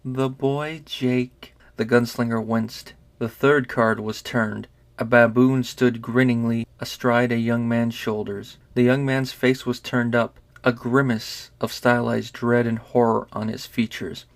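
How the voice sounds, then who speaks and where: Man narrating a dark story. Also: medium pitch, flat delivery, a little slow, hardly any pauses. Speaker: narrator, fan reader. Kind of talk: dramatic audiobook-style narration.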